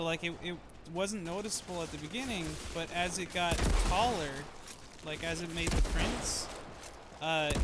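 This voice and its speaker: A young man streaming and chatting casually, rambling with lots of fillers. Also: fairly high pitch. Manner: rambling, relaxed, many fillers. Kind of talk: casual chat. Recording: loud gunfire game noise behind. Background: loud game gunfire.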